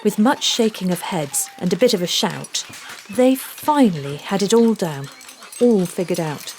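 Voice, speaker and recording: A woman narrating a children's storybook aloud, with deliberate, rhythmic delivery of rhymed phrases. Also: medium pitch, lively intonation, a little mellow, slight background noise, rough recording. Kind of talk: scripted storybook read-aloud.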